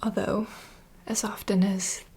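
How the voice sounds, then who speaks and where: A woman playing a knowing, secretive vampire bartender in an ASMR roleplay, speaking in a soft breathy whisper as an unhurried, gentle mentor. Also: mid-range voice, flat delivery. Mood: hushed storytelling. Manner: unhurried, confiding storyteller. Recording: close whisper over clear ambient noise.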